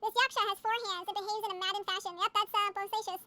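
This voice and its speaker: Very high, rapid-fire woman reading aloud. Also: flat delivery, sharp tone, slight background noise. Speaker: game streamer. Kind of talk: reading game text aloud.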